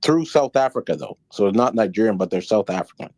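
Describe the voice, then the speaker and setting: A man's voice: lowish voice, animated, fast talker, a little mellow, no echo. A young man from Michigan calling into a live show, hesitant and full of fillers and restarts. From United States (Michigan). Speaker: caller. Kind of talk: casual call-in talk.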